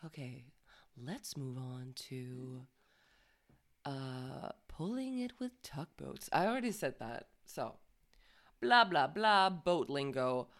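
Woman, low voice, podcast co-host, casual news chat, laughs often, stumbles, swears. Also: very animated, takes it slowly, fairly bright tone. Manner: laughs and swears a lot, stumbles over words.

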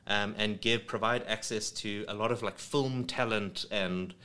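South african guy rambling thru an interview, lots of ums, kinda low voice. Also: steady tone, measured pace, sharp tone. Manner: rambling, many ums and restarts. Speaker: creative studio head, guest. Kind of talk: casual interview chat. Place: home studio. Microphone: studio microphone.

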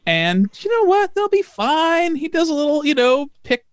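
A man's voice: very high voice, big pitch swings, normal speed, no room sound, studio quality. A man co-hosting a casual music podcast, making wry jokes. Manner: wry, joking asides. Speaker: podcast co-host.

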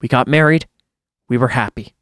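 A man's voice: medium pitch, moderate pace, a bit bright, dry recording, clean studio sound. A man reading a first-person horror story aloud in a dramatic storytelling style, as a podcast host would.

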